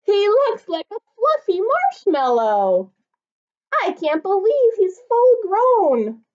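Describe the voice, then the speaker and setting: A woman voicing excited children in a park, squealing in amazement. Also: extremely high pitch, slow pace, voice pushed up, full character voice. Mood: amazed. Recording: dull lo-fi recording.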